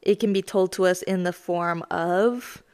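A woman in her thirties hosting a self-help lesson podcast, her voice raspy as she gets over being sick, talking in a chatty, self-deprecating, explanatory way. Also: lowish voice, fairly even intonation, unhurried, darkish tone. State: raspy voice from recent illness. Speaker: podcast host.

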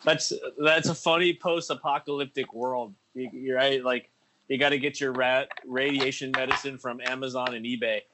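A man's voice: mid-range voice, fairly even intonation, normal speed, pushed and bright, a little hiss or hum, poor audio. A man hosting a casual chat, hesitant, repeating words and using many fillers. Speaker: host. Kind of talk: casual conversation.

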